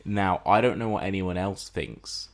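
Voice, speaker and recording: A man co-hosting a casual film and TV podcast, building up his points deliberately with rhetorical questions. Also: low-pitched. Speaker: podcast co-host.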